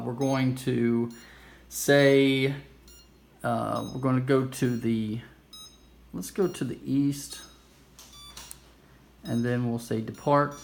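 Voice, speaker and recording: A man hosting a casual hands-on game demonstration, explaining things informally with a self-amused, nerdy enthusiasm. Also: mid-range voice. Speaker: host of a retro game play-through video. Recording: clearly noisy amateur recording.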